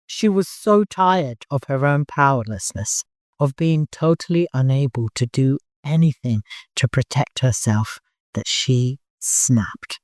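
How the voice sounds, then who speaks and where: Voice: mid-range voice, animated, a little slow, no room sound, studio quality. An audiobook narrator reading scripted fiction in a dramatic storytelling style.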